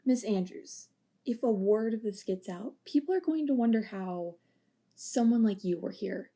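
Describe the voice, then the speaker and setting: A woman's voice: mid-range voice, expressive, moderate pace, warm tone. A woman playing a cold, calculating blackmailer who talks in a matter-of-fact way in an amateur audio drama.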